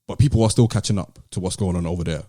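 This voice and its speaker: A British man co-hosting a podcast, talking in a casual, measured and articulate way with some slang. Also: low voice, warm tone, no echo, studio quality. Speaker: podcast host. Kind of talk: casual discussion and commentary.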